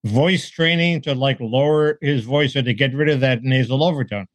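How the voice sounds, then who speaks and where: A man's voice: medium pitch, normal speed. A man with a mid-range voice talking politics in a wry, joking way and laughing between his points. The room is dry and close, with no echo around him. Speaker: podcast co-host. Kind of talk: casual political chat.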